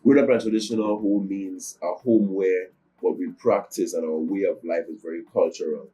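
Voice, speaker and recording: Young Nigerian man, Yoruba native, low and dark voice, measured and earnest interview guest. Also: steady tone, faint music behind, poor audio. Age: young adult. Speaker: guest musician. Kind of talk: interview answers.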